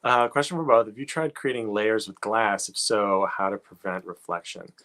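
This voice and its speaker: A man's voice: on the low side, moderate pace, crowd noise. A man hosting a casual interview, asking informal, rambling questions as he chats. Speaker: interviewer, host.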